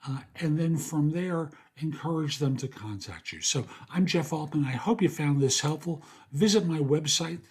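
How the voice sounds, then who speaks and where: An older man, a podcast host and career coach, gives plain-spoken advice in a raspy, hoarse voice. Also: on the high side. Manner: plain-spoken, steady, practical. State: hoarse after an illness. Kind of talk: casual advice monologue.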